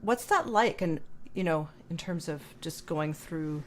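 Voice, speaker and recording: A woman's voice: low voice, animated, a little slow. A woman hosting a musician interview, asking long thoughtful questions with many small fillers. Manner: thoughtful, rambling questions with many "you know"s. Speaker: podcast host, violinist.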